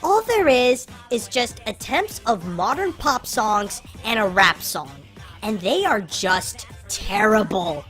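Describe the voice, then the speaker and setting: An artificially pitch-shifted voice of a film reviewer giving opinionated scripted commentary with exasperated emphasis on key words. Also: very animated, takes it slowly, a bit bright, faint music behind.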